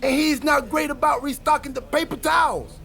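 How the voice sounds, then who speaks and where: A man playing an excitable, goofy time-travelling wrestler in a video game cutscene, wisecracking through dramatic exposition. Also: extremely high pitch, normal speed, creaky, soft background music, exaggerated voice. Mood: wry, animated.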